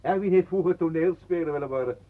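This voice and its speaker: An actor playing a jovial, intrusive talker in a radio play, flowery, pompous and mock-courteous. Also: male voice, fairly high pitch, fast talker, close to an everyday voice. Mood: chatty, pompous. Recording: muffled, phone-like old radio recording.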